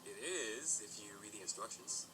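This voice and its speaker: A man's voice: fairly low pitch, animated, moderate pace, music in the background, lightly acted. A man voicing an easygoing, teasing male pony. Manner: teasing, laid-back. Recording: noisy amateur recording.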